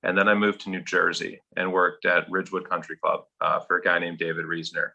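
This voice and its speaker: Forceful, deep-voiced man with a faint Minnesotan accent. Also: little pitch movement, brisk, creaky, lo-fi sound. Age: 30s. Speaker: golf professional guest. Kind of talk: casual interview.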